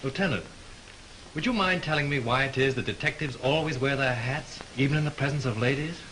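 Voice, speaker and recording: An actor playing a confident young man solving a murder, talking quickly and with easy wit, heard on a noisy early 1930s film soundtrack. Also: mid-range voice, a bit bright, close to an everyday voice. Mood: joking. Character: breezy, sharp young man playing amateur sleuth.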